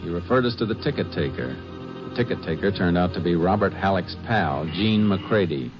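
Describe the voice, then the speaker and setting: A low-voiced American Texas Ranger, steady and no-nonsense, speaking in terse, measured lines with quiet authority. It is 1950s radio crime drama, dulled by the old recording, with music. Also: male voice, dull audio. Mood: narrating, grave.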